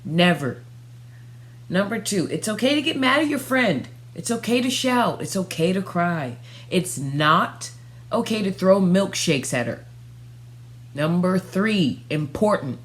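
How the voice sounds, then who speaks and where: A woman's voice: on the low side, lively intonation, unhurried. A woman voicing a hurt, stern young girl in a children's storybook, firm and reproachful. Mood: firm, earnest. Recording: faint background noise and hum.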